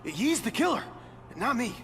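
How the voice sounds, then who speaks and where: A man's voice: very high-pitched, big pitch swings, moderate pace, vocal fry, fairly bright tone, faint music behind, exaggerated voice. A man playing a frightened, stammering small-time criminal pleading his case in a video game. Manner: stammering, frightened, whiny protesting. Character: panicky, pleading male low-level criminal caught out. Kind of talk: video game cutscene acting.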